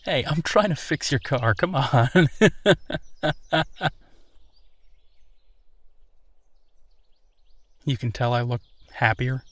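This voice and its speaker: A man playing an easygoing, affectionate werewolf boyfriend in an intimate audio roleplay, speaking earnestly and haltingly. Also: mid-range voice, expressive, no room sound. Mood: teasing, fond. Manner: hesitant, chuckling, earnest, halting phrasing. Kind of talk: intimate boyfriend roleplay, naturalistic one-sided conversation.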